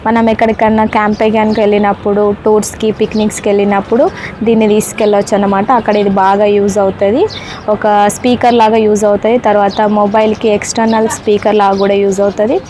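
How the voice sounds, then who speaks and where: A woman's voice: medium pitch, flat delivery, fast, dark tone, a little hiss or hum. A woman with a Telangana Telugu accent giving a casual hands-on product demonstration, explaining step by step and often checking in with viewers. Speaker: product reviewer.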